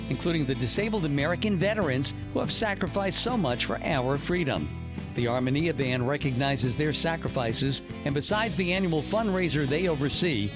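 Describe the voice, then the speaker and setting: Lively high-voiced male ad announcer over guitar. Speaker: radio ad announcer. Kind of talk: scripted promotional read. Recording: produced spot with guitar music bed. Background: guitar music.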